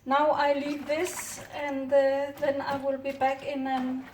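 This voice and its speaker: Woman, Danish accent, middle-aged, high flat voice, slow and halting, distant roomy recording with faint hiss. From Denmark. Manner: hesitant, many "uh" fillers, unhurried. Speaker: orchid grower. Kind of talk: casual hands-on narration. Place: home kitchen counter, indoors. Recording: roomy distant camera audio, faint noise.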